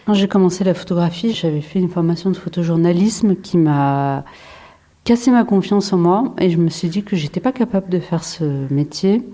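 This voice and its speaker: A woman in her forties, from France, a photographer answering interview questions in a reflective way, with frequent pauses, fillers and restarts. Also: lowish voice, dark tone. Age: 40s. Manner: hesitant, many fillers and restarts.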